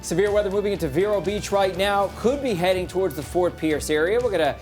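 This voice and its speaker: A man's voice: high voice, quick pace, darkish tone, faint music behind. A man with an American accent presenting a live weather forecast on television.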